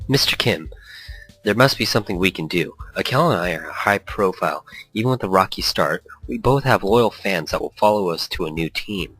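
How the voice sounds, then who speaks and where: A man's voice: deep voice, lively intonation, normal speed, a bit bright, poor audio. A man voicing a confident, persuasive female pro gamer in a visual novel game, composed as they negotiate. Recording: faint music behind the voice.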